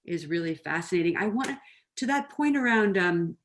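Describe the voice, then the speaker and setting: Eager woman host, slightly low voice. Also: expressive, brisk, slight background noise. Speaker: conference host, interviewer. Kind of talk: interview questions.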